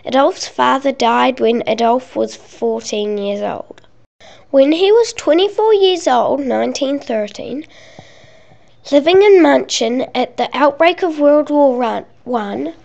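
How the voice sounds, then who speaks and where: A woman's voice: high voice, lively intonation, measured pace, creaky, darkish tone, highs cut off. A woman reading scripted narration for a school project, carefully and with some stumbles over words. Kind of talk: scripted narration, read aloud.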